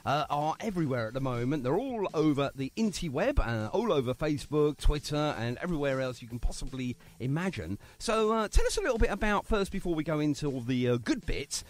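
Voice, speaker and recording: Jokey radio host, lively pitch. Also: male voice, fairly quick, few pauses. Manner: jokey, punning, chatty. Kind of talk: casual radio chat and interview.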